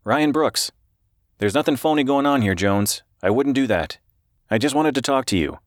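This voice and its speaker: Guy doing an anxious reporter in an audiobook, higher voice, hurried and apologetic, super dry sound. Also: lively intonation, no room sound, lightly acted. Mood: earnest, defensive. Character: nervous, pleading male newspaper reporter on a phone call. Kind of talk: audiobook character dialogue.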